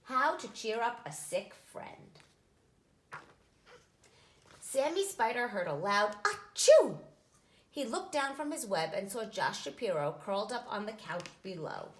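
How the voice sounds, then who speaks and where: A woman reading a children's storybook aloud in an expressive, storytelling style. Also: medium pitch, unhurried, roomy sound. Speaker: story reader, nonprofit executive director.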